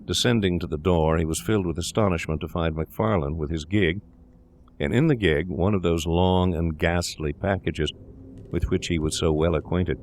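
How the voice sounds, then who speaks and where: A man's voice: low-pitched, moderate pace, a little mellow, slight background noise. A man reading scripted literary narration as the narrator of a gothic tale. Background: eerie ambience.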